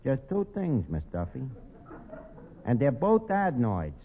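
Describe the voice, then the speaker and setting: Streetwise New wisecracker running a tavern, pompous and sardonic, on a noisy, tinny vintage radio comedy recording. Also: male voice, mid-range voice, huge pitch swings, unhurried. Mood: exasperated, sarcastic. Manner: sardonic, streetwise, malapropism-prone. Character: wisecracking, self-important tavern manager with mangled grammar. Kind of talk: 1940s radio comedy acting.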